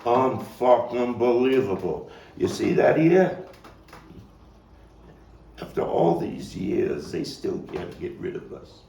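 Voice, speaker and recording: Man acting a gravelly, rambling disheveled drunk. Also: medium pitch, very animated, slow, pauses often, warm tone, voice pushed up, full character voice. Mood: amazed, nostalgic. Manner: rambling, stammering, emphatic bursts. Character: disheveled drunken man, nostalgic, greeting an old haunt. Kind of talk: stage monologue at a table read. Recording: roomy, noisy, distant microphone.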